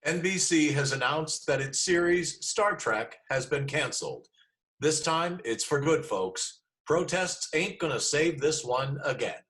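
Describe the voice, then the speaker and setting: A man's voice: on the high side, measured pace, fairly bright tone. A man playing a folksy news announcer in a screenplay table read. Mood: matter-of-fact.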